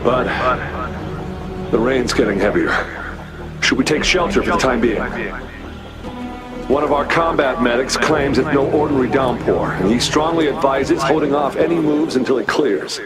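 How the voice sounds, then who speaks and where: A man plays a dutiful officer in a video game. He speaks in a medium-pitched voice with crisp, formal military delivery. Music is clearly audible behind him. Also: moderate pace, pauses often, lightly acted. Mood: dutiful, concerned.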